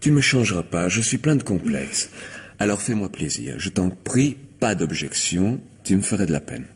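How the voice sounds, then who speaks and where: An actor playing a smooth, manipulative lover in a radio mystery, coaxing and insistent, slipping into commands. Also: male voice, low-pitched, sing-song, moderate pace. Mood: pressing, velvety. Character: smooth, manipulative male lover greedy for valuables. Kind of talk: theatrical French radio mystery acting.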